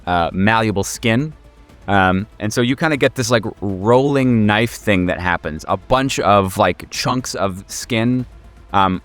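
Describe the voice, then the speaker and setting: A man's voice: lowish voice, a bit bright. A man game mastering a horror tabletop game in casual, improvised narration, joking and swearing freely. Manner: profane, joking.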